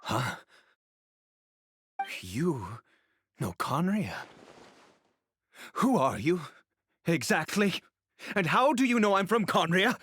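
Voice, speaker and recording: A man's voice: sits high, sing-song, slow pace, long pauses, fairly bright tone, slight background noise, heavily acted. A man voicing a guarded, haughty, secretive man in a video game, with an imperious, curt and theatrical delivery. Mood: startled suspicion. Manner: imperious, curt, dramatic, clipped.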